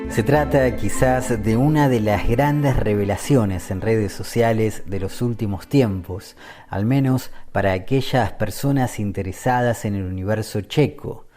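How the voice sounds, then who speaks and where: A man narrating a radio podcast feature in a measured, scripted read. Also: lowish voice, over music. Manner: measured, read-aloud delivery.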